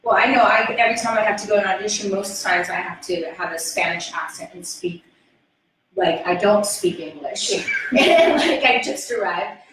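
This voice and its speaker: A woman's voice: medium pitch, normal speed, noisy background. A woman, an actress, talking about her auditions in an easygoing panel chat. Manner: easygoing, laughs readily. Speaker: actress in the film. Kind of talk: casual panel discussion. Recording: echoey room, mic at a distance.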